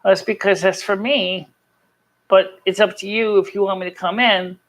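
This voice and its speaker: A streamer with a mid-pitched voice speaks casually to an online audience. The delivery is earnest and rambling, with halting stretches while telling personal stories. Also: level tone, a bit bright. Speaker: live stream host. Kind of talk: casual chat to a live chat audience, personal storytelling.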